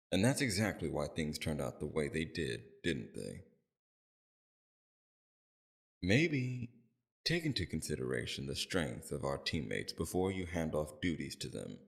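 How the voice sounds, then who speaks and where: A man playing a courteous, calculating villain leader in an audio roleplay, speaking to a captive in a polite, measured, formal and softly condescending way. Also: very deep voice, creaky, exaggerated voice. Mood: amused, chiding. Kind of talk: scripted audio roleplay, addressed to the listener.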